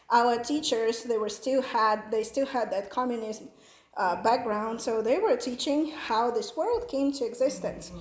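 Ukrainian woman, 30s, higher voice, earnest testimony, echoey hall with faint hiss. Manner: earnest, animated storytelling. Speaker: missionary's wife. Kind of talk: church testimony.

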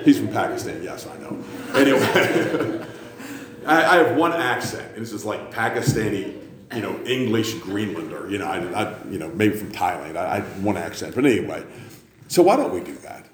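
A man preaches to a congregation with a lively, swooping delivery, slipping into self-mocking jokes and teasing asides between points. His voice rings through a roomy sanctuary over a faint hum of ambience. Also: on the low side, normal speed. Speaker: pastor. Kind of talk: sermon with joking asides.

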